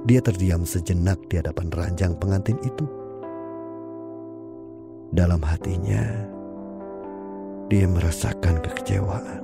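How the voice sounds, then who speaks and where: A man narrating an audio drama in a calm, measured storytelling manner. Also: sits low, creaky, loud music behind the voice.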